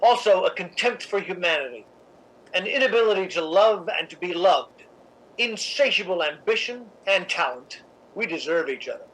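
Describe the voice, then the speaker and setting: A woman's voice: lowish voice, lively intonation, moderate pace, fairly bright tone, husky, rough recording. A woman playing a cold, cynical, domineering theatre columnist in a radio drama, speaking in an imperious, articulate way. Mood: controlling, cynical. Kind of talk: 1950s radio drama acting.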